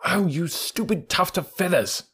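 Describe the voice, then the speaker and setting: A man voicing a small, brave male mongoose with a husky voice, talking sharply and urgently. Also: fairly high pitch, expressive, moderate pace, voice pushed up. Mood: impatient, urgent.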